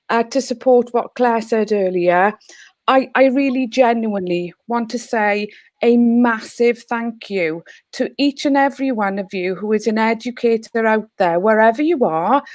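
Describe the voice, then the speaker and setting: Welsh woman, Welsh first language, teacher guest, earnest, slightly high, dry video-call sound. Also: darkish tone, no echo. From Wales. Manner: earnest, heartfelt. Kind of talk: online panel talk.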